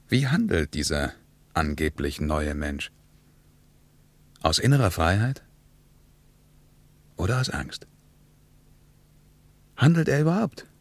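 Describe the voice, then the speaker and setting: A man's voice: deep voice, dry recording. A man delivering scripted rhetorical commentary for a radio play in a grave, questioning manner.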